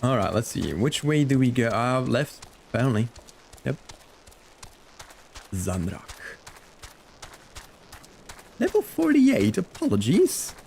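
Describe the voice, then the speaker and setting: A man's voice: mid-range voice, huge pitch swings, slow, gravelly, pauses often, a little mellow, slight background noise. A man chatting casually and playfully with viewers as he plays and comments on a game on a live stream.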